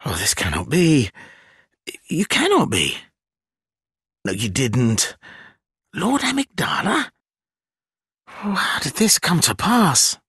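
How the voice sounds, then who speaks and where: A man voicing a sly, gleeful spider creature in a gothic fantasy game, husky and theatrical, with wheedling, archaic phrasing. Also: a little high, wildly swooping pitch, slow, long pauses, a bit bright, dry recording, clean studio sound, full character voice. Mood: feigned hurt. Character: sly, gleeful, obsequious spider-bodied trickster zealot.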